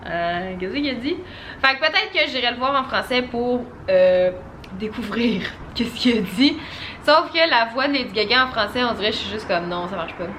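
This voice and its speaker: A young woman with a Québécois French accent, chatting casually to camera as a vlogger and giving a relaxed film review. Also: fairly high pitch, moderate pace, a little hiss or hum. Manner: chatty and animated, full of casual fillers.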